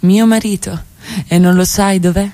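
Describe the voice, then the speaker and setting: A woman's voice: medium pitch, fairly even intonation, moderate pace, warm tone, lightly acted. A woman plays a married mistress in a radio drama. She coaxes, questions and protests in a mid-range voice, pleading and defensive with her lover. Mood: tender, puzzled. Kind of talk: radio theatre acting.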